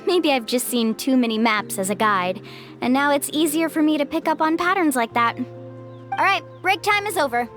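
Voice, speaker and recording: Young woman guide, high bright voice, lively intonation, encouraging, soft game music. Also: moderate pace. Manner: friendly, encouraging. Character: upbeat young woman guide, leading the group. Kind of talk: video game voice acting.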